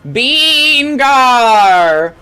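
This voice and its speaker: A woman's voice: high-pitched, sing-song, extremely slow, sharp tone, clean tone, soft background music, voice pushed up, heavily acted. Woman as a moaning undead ghost creature. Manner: moaning a single word. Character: moaning undead ghost ball crackling with static.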